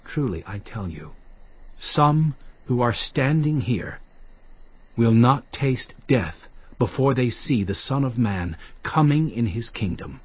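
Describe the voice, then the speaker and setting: An actor playing a commanding, earnest male teacher in a dramatized audio Bible, speaking emphatically and deliberately. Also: mid-range voice, lively intonation, husky, dry recording, dull audio. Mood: earnest, exhorting.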